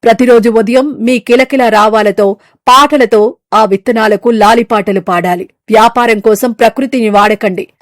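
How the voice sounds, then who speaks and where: A woman voicing an ancient, sacred mother tree that speaks in a solemn, declamatory way, stern yet forgiving, in an animated moral story. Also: fairly high pitch, moderate pace, no room sound, studio quality, natural voice.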